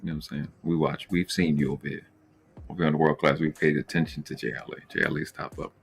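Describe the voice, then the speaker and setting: A man with a deep voice hosts a livestream. He talks in loose, slangy phrases, addressing guests and viewers conversationally as the show's host. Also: level tone, quick pace, gravelly, a little hiss or hum. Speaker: live show host. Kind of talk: casual livestream chat.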